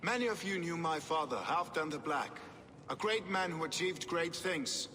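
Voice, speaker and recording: An actor playing a proud, ambitious Norse warrior king in a video game, speaking in formal, measured oratory. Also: on the high side, lively intonation, sharp tone. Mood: grandiose, rousing. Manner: grandiose, measured, commanding speech. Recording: reverberant hall with faint background noise.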